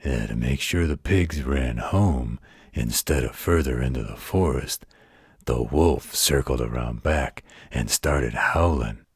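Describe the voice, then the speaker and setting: An extremely low, heavily rasping werewolf played by a man, gruff but gentle and protective. He speaks in a folksy, unhurried way, as in a bedtime roleplay audio, close and dry. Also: no room sound, heavily acted. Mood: amused storytelling. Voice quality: deep, gravelly, husky. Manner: folksy, slow, patient drawl with colloquial turns.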